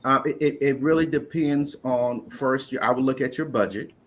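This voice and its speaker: A man's voice: mid-range voice, normal speed, slight background noise. A man with a mid-range voice walks through financial ideas in an earnest, conversational manner. He circles back and repeats words as he restarts sentences, speaking plainly to the listener. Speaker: insurance investment guest. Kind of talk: conversational interview explaining.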